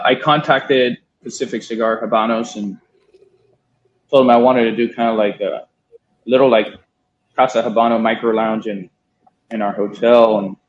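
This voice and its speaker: A relaxed man in his thirties from Miami tells his story in a loose, conversational way, dropping the odd swear word and acting out what others said. His voice sits in a middle pitch. The recording is dull and lo-fi. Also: a little hiss or hum. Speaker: guest, cigar importer. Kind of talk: casual storytelling interview. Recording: dull lo-fi sound.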